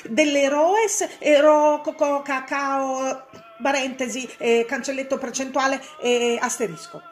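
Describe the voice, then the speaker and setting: A woman's voice: sits high, steady tone, unhurried, faint music behind, voice pushed up. A woman voicing a flustered, apologetic man who stammers and garbles his words in a comic storybook tale. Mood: halting, flustered. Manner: stammering, mangled words and misprints. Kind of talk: comic children's storybook character voice.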